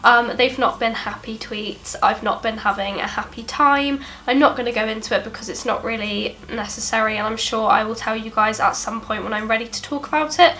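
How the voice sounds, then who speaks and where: A woman's voice: fairly high pitch, brisk, hardly any pauses, bright, forceful tone, a little hiss or hum. A young British woman with a stuffy nose from a cold, talking through a product review in a subdued, apologetic way. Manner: subdued and apologetic, chatty with fillers. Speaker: beauty vlogger. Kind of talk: casual product review talk.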